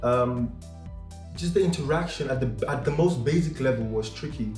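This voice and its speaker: A man's voice: medium pitch, normal speed, darkish tone. A young man from Cameroon hosting his own channel in a casual, playful, joking way. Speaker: channel host. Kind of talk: casual vlog chat. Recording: echoey room with music behind.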